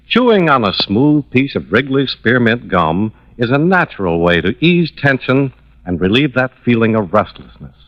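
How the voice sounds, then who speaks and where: An American man announcing on 1950s radio, giving a friendly, reassuring sales pitch. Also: dry recording. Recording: dry, muffled old radio recording.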